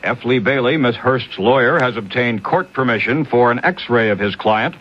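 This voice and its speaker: A male newscaster reads a scripted radio bulletin in 1970s style. His voice sits at a medium pitch. The recording is dull and lo-fi, taken off old AM radio. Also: normal speed.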